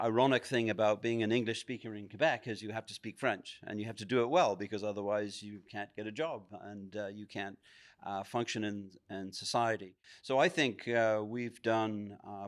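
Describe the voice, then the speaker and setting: A man in his sixties speaking in a relaxed, reflective way in an interview, recorded on a stand mic in a curtained studio. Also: fairly low pitch. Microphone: stand mic close by.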